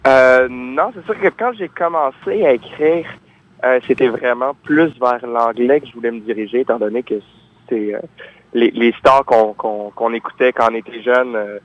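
A man's voice: medium pitch, normal speed. A young man, born in France, answering interview questions as a singer-songwriter, with many fillers and restarts. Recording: dull lo-fi recording.

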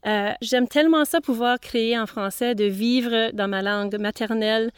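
A woman's voice: a little high, clear, resonant tone, dry recording, clean studio sound. A middle-aged woman from Manitoba with a Franco-Manitoban French accent, a singer-songwriter introducing herself casually and hesitantly between songs. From Manitoba, Canada. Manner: unhurried and hesitant, many pauses and "euh"s.

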